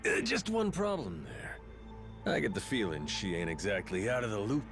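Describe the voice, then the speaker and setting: An actor playing a cocky, irreverent pirate captain in a video game, with a slangy, mocking, street-tough manner. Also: male voice, on the low side, wildly swooping pitch, moderate pace, fairly bright tone, heavily acted. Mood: wary, sardonic. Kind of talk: dramatic video game voice acting. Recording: game music behind.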